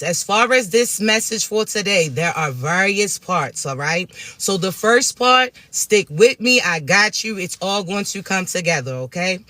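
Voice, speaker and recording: A man with a raspy voice, a spiritual coach giving a casual, affectionate, reassuring message straight to his viewers. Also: high voice, sharp tone, dry recording. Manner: affectionate, reassuring, calls listeners "chosen ones" and "babies".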